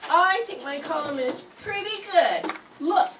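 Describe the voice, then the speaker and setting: A woman's voice: high voice, expressive, measured pace, far from the mic. An older woman playing Irma, a naive, ditzy young woman, in a staged 1940s radio comedy reading. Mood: airheaded. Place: college classroom stage. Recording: roomy hall, heard from afar, faint hiss.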